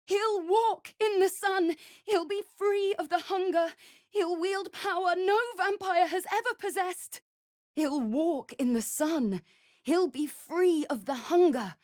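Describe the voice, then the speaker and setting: A woman's voice: very high voice, slow, a little mellow, no echo, clean studio sound. A woman playing an anxious, devoted vampire spawn in a fantasy video game, speaking formally and earnestly. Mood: awed. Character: anxious, devoted female vampire spawn serving her master.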